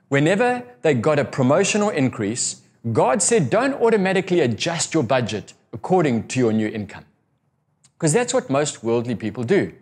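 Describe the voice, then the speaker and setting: A middle-aged man preaching a recorded sermon to camera, earnest and expressive, stretching key words for emphasis. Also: mid-range voice. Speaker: pastor. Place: indoor room by a window.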